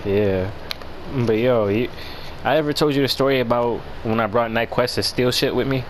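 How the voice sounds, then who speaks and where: An American man chatting casually as he tells a story, with slangy, profane and halting delivery. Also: mid-range voice, steady tone, background noise. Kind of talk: casual storytelling chat among friends.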